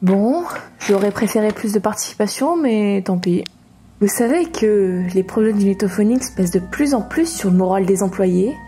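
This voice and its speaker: An actress playing an eager office employee who theatrically hosts horror stories in an audio comedy. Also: female voice, mid-range voice, animated, normal speed, dark tone, a little hiss or hum, close to an everyday voice. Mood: chatty, organizing. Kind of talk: amateur audio comedy drama.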